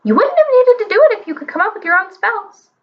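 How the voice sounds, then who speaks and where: A woman voicing a cheeky female parrot with a shrill, scratchy, squawking voice. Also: sing-song, quick pace, dull audio. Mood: scolding.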